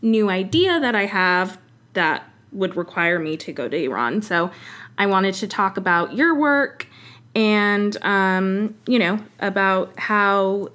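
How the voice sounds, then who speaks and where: A woman's voice: medium pitch, animated, slow, fairly bright tone. Casual young woman podcast host. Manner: casual, with many fillers. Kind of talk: casual interview chat.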